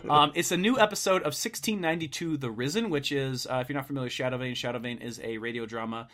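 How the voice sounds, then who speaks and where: Young man, podcast host, casual chat, rambling, laughs a lot, middle pitch. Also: fast talker, fairly bright tone. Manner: laughs often, rambling with fillers.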